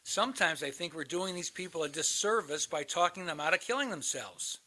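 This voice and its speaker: A man's voice: on the high side, animated, fairly quick, bright, forceful tone. An actor in a radio play, playing a cynical, bitter older man, a world-weary crisis hotline veteran who likes to philosophize. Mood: provocative.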